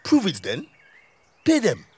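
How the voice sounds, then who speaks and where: A stubborn Nigerian village leader in an audio drama. His voice is high and swings widely as he mocks and argues, throwing out sarcastic questions. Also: huge pitch swings, measured pace, a little mellow, slightly rough, heavily acted. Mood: scornful, challenging. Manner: mocking, argumentative, rhetorical questions.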